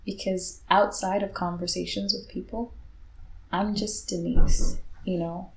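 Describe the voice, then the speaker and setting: Flat-voiced reflective woman. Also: medium pitch, unhurried, low-quality recording. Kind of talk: candid conversation.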